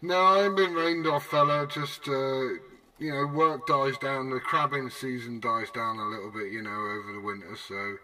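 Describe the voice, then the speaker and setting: A man's voice: mid-range voice, fairly even intonation, normal speed. A British man, a CB radio operator, making matey, slangy small talk in an informal, casual way. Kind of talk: casual banter and chat. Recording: noisy recording with radio and vehicle ambience.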